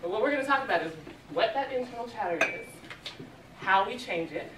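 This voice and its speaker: A woman, a loud, sassy and playful motivational speaker who recites a rhyming intro and gets the room moving. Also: on the high side, lively intonation, fairly quick, noisy background. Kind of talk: spoken-word intro and live crowd motivation. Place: conference hall, indoors. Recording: echoey hall, distant, over loud music and a crowd.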